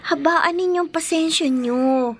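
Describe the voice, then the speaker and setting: A woman's voice: very high-pitched, a little slow. An actress playing a patient, dutiful schoolgirl daughter in a Tagalog radio drama, with a Filipino accent. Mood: caring, gently firm.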